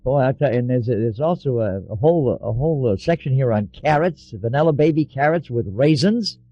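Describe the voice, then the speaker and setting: A man's voice: mid-range voice, expressive, brisk, no room sound, highs cut off. A man hosting a radio interview, chatty and repeating words as he starts his sentences. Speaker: radio host.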